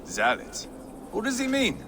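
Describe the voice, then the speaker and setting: Brash Viking prince, wildly swinging delivery. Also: male voice, high voice, wildly swooping pitch, measured pace, noisy background, full character voice. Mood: mocking. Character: brash, bloodthirsty Viking warrior prince, restless and boastful. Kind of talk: video game cutscene acting.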